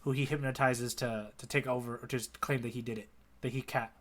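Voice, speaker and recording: A man's voice: medium pitch, fairly even intonation, brisk, a little hiss or hum. A man co-hosting a podcast in casual conversation, inquisitive, often checking in and prompting with questions. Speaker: podcast co-host. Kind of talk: casual chat, manga readalong discussion.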